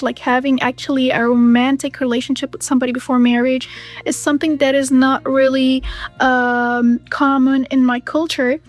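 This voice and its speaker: A woman from Kuwait telling her personal story in an interview, hesitant and pausing with many fillers. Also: high-pitched. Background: background music.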